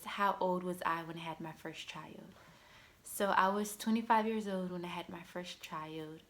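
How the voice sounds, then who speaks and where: A woman's voice: on the low side, a bit bright. A woman in her twenties talking casually to camera as a vlogger, chatty and loose, with a lot of "like" and "you know". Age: 20s. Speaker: vlogger mom.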